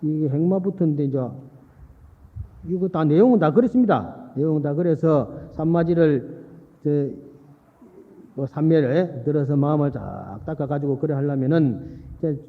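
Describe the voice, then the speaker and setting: Male monk delivering a folksy sermon, slightly high-pitched voice, long hall reverb. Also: moderate pace, pauses often. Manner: folksy, repeats phrases for emphasis, checks in with listeners. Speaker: Buddhist monk giving a dharma talk. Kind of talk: Buddhist sermon with storytelling. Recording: muffled phone-like sound in long-reverb hall.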